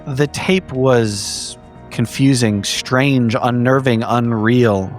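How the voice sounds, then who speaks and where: Man narrating formally over music. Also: mid-range voice. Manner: measured, wordy, formal storytelling phrasing. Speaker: player in a tabletop horror roleplaying game. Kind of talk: in-character recap narration for an actual play. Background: background music.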